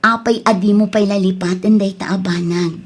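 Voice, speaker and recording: A woman's voice: medium pitch, steady tone, moderate pace, close to an everyday voice. A woman acting an earnest younger sister who coaxes and reasons, in an Ilocano radio drama. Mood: earnest coaxing.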